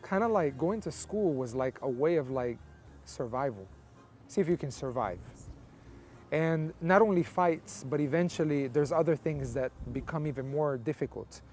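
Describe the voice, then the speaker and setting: High-voiced man telling his story. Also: animated, moderate pace, a little mellow, slight background noise. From Colombia. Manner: reflective, frequent "like" fillers. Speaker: interviewee. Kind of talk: personal testimony, casual storytelling.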